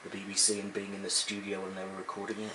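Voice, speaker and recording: A man's voice: sits low, monotone, fast, sharp tone. A man interviewing someone, asking questions in a conversational way. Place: at the interviewee's home, indoors. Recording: roomy, noisy home interview recording.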